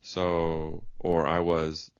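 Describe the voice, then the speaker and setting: A man speaking slowly in a simple, carefully worded conversation made for English learners. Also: low voice, completely flat delivery, clean tone, no echo, lo-fi sound. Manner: plain, careful wording. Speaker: conversation partner in English lesson.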